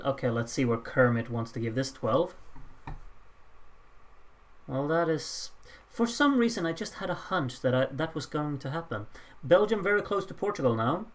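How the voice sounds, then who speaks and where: Someone hosting a live points vote with casual commentary, announcing results with drawn-out pauses and slipping in dry, offhand asides. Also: medium pitch, lively intonation. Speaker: host announcing song contest voting results.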